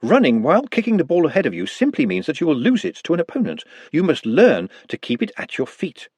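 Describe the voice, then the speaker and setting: A man voicing a timid, posh and very articulate small man in an audiobook, formal and precise, with a husky voice. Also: fairly high pitch, wildly swooping pitch, fast talker, darkish tone, dry recording, voice pushed up, full character voice. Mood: lecturing.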